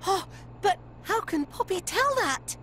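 A woman voicing a small, childlike male furry creature in a game, excitable and speaking in broken grammar. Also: very high voice, measured pace, a little hiss or hum, exaggerated voice. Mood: excited, urgent. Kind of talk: video game cutscene acting.

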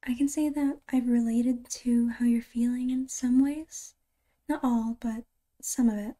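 A woman playing a caring, reassuring girlfriend in an ASMR roleplay, soft-spoken and breathy, close to a whisper, with halting, tender delivery. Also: fairly high pitch, steady tone, close to an everyday voice. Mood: gentle concern.

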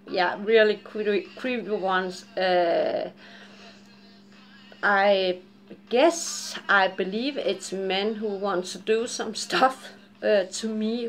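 A woman in her forties with a Japanese and Danish accent, chatting candidly to a webcam at home in a dim living room, rambling, laughing often and cursing freely. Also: mid-range voice. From Japan and Denmark. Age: 40s. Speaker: vlogger. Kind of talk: casual chat to camera.

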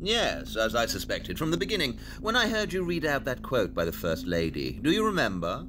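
An actor playing a brilliant, assured detective in an audio drama, speaking in a precise, formal, expository way. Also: male voice, medium pitch, huge pitch swings, normal speed, music in the background.

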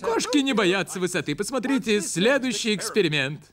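Excitable high-pitched cartoon bird presenter. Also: male voice, a little fast, soft background music. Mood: presenting. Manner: exclamatory, showy. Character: excitable cheeky bird presenter of an animal show. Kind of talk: cartoon dub, show hosting.

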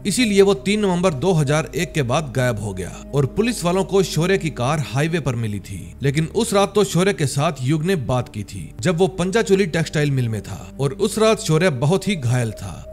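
A man delivers a scripted plot recap as a voice-over with music underneath. His voice sits a little high and moves in lively swings of pitch, explaining each step like a storyteller. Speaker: narrator of film and series recaps.

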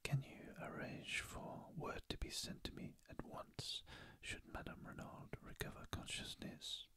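A reader whispering the part of a guarded, quiet male detective in an audiobook. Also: unhurried. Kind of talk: ASMR audiobook reading.

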